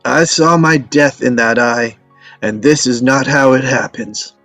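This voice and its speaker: A stubborn, ailing old storyteller played by a man, folksy and wry. His voice is somewhat low with a gravelly creak, dry and close, as he spins tall tales in a dramatic script read. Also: measured pace, a bit bright, no echo, soft background music. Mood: wry, assured. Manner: folksy, evasive, joking storyteller.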